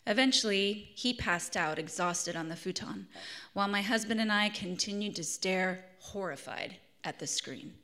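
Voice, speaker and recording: A woman's voice: mid-range voice, normal speed, pushed and bright. A woman in her thirties with a scratchy voice, reading her own essay at a live event. She speaks earnestly, with fillers and restarts. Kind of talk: live reading with spoken introduction. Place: arts center event hall.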